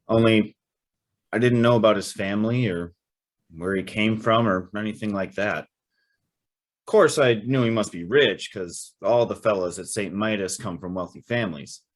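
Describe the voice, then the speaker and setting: A man playing an eager, naive young prep school student with a folksy, chatty manner in an old-time radio drama. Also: fairly low pitch. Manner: folksy, chatty, hesitant narrating and exclaiming.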